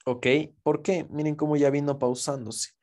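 A man's voice: fairly high pitch, flat delivery, normal speed, a little mellow. A man teaching forex trading over a screen recording, in a casual explanatory way with frequent check-ins to viewers. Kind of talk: screen-share trading lesson.

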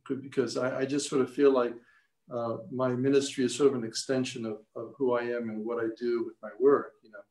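A man in his sixties, born in Greece, speaking on a panel as an artist and priest, halting with many fillers and restarts over a video call. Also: medium pitch, a little mellow. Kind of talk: casual panel talk. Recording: roomy echo on call audio.

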